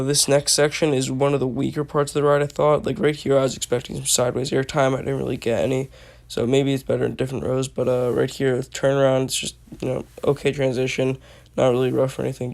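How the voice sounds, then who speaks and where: Young male vlogger, hoarse and gravelly, loose filler-heavy voice-over. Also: mid-range voice, darkish tone. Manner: rambling with many fillers. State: hoarse from allergies. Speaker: roller coaster reviewer. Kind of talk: casual voice-over commentary.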